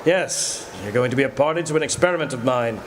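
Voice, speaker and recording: A man's voice: mid-range voice, quick pace, slight background noise, close to an everyday voice. A man playing a polite, menacing mad scientist in an old-time radio drama, formal and coolly threatening. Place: live performance in a café.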